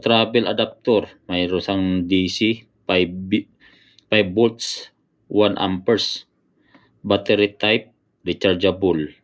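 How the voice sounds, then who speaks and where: A man's voice: lowish voice, dull audio. A man giving casual unboxing commentary as a motorcycle vlogger, often hesitating to find words and addressing his viewers.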